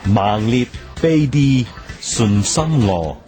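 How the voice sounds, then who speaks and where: An actor playing a powerful, measured media tycoon in a Cantonese radio drama, speaking in halting, deliberate phrases. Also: male voice, mid-range voice, animated, mellow, faint music behind. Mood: measured, assured.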